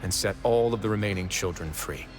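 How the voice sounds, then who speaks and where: Man playing a low, brooding, composed fortress duke. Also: faint music behind, natural voice. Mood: grave. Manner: measured, deliberate, grave. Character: composed, brooding adult male fortress duke with dark past. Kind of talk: dramatic game dialogue.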